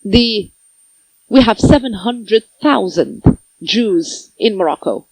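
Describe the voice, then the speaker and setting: Slow, halting woman interpreter. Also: mid-range voice, lively intonation, a little mellow, slightly rough, no echo, poor audio. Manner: halting, phrase by phrase with pauses and self-corrections. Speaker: conference interpreter. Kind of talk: simultaneous interpretation of a conference talk.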